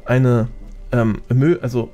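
A man chats in a casual gaming podcast, with music playing behind him. His talk is colloquial and peppered with English gamer slang, at an ordinary male pitch. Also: slow, warm tone. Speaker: podcast guest, gaming content creator.

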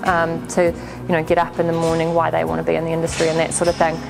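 A woman's voice: low voice, completely flat delivery, fast, vocal fry, rough recording. A British woman in her thirties giving an interview testimonial about her work. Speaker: agricultural bank relationship manager. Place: indoor milking parlour. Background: background music.

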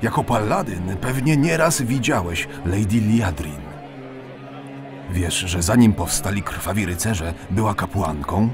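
Man playing a deep-voiced old elf captain. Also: animated, unhurried, music in the background. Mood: firm, inviting. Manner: authoritative, melancholy. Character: weary, proud old male elf veteran captain. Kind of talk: Polish fantasy audio drama.

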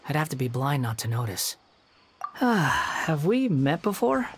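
A man voicing a polite, guarded young wanderer in a video game, with a measured manner. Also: a little high, big pitch swings, slight background noise. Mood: mild, polite.

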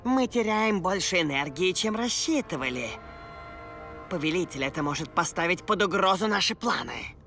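Treacherous cartoon henchman: creaky, dramatic and very animated, with music under it. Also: high-pitched, moderate pace, heavily acted. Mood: anxious, servile. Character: scheming servile alien henchman, male, treacherous. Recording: loud music behind.

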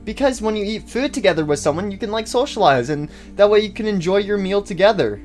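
A man's voice: high-pitched, lively intonation, fast talker, a little mellow, faint music behind. A man voicing a chirpy, friendly, oblivious female toy chicken animatronic in a comedy cartoon, chatting in a flustered, rambling way. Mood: chatty. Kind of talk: amateur comedy cartoon dialogue.

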